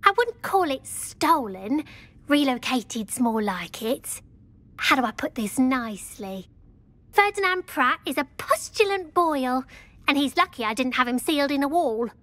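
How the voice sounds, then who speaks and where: Game role: sardonic young troublemaker schoolgirl, high-pitched, defiant delivery. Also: very animated, measured pace, a bit bright, exaggerated voice. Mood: cheeky. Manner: dry, sardonic. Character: cheeky, defiant fourth-year schoolgirl troublemaker. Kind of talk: scripted game acting.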